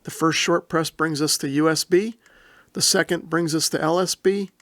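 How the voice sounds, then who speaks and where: A man's voice: a little high, fairly even intonation, moderate pace, a bit bright, dry recording, clean studio sound. A man explaining equipment methodically and plainly, step by step, as the host of a product demonstration. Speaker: host, radio reviewer. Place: indoor room.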